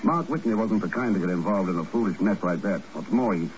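An actor playing a shrewd secret agent with a dry, understated manner, in 1950s radio drama style. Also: male voice, low voice, quick pace, natural voice. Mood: wry, skeptical. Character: shrewd, wry secret agent following his hunches. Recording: muffled, noisy old radio recording.

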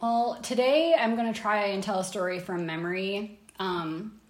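Woman, podcast host, rambling storyteller, laughs easily, middle pitch. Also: normal speed, roomy sound. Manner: rambling, many fillers and restarts, laughs easily.

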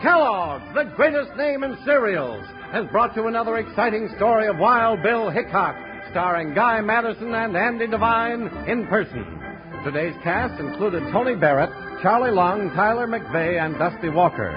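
A man's voice: sits high, animated, moderate pace. Male 1950s radio announcer: salesmanlike, emphatic, swooping intonation, dull old broadcast sound. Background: music.

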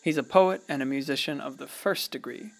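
Folksy radio play narrator, gravelly fry in the voice, kinda high, musing and philosophical about small town life. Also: male voice, a little fast. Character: folksy small-town storyteller, reflective and fond of his town.

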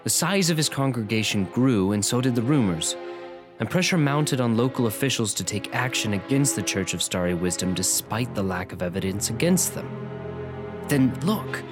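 A man's voice: medium pitch, expressive, normal speed, over music, lightly acted. An actor playing a shaken, earnest young man in a radio drama, anxious and intense. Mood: troubled, intrigued.

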